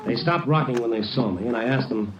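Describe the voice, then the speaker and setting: A man's voice: mid-range voice, quick pace, background noise, natural voice. An actor playing a young, wry reporter who narrates his own mystery in an old-time radio detective drama. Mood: tense, urgent. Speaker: reporter narrator and lead character. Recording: muffled, distant, echoey old radio recording.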